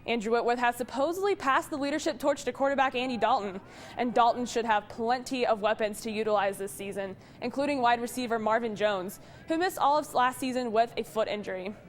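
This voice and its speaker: A woman television sports reporter delivering scripted highlights and team news. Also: fairly quick, a little hiss or hum.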